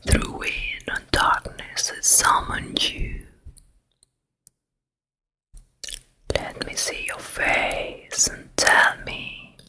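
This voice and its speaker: A woman playing a vain, scheming wicked queen and stepmother in a whispered ASMR roleplay, slow, deliberate and given to repeating her words. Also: sharp tone. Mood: vain, summoning. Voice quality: breathy unvoiced whisper. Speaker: ASMR performer.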